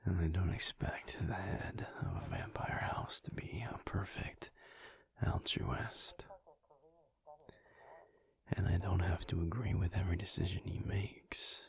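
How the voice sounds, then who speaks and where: Man voicing a slow, gravelly, whispering alpha werewolf. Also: low voice. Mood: wary, deliberate. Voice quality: breathy, gravelly near-whisper. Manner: slow, halting, pensive delivery. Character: calm, brooding male alpha werewolf pack leader, intimate and thoughtful. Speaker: audio roleplay performer. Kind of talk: intimate whispered audio roleplay.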